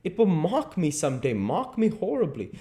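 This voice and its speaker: A man voicing an anguished, vain young man who fears growing old, in a high, strained and pleading voice. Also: wildly swooping pitch, moderate pace, dark tone.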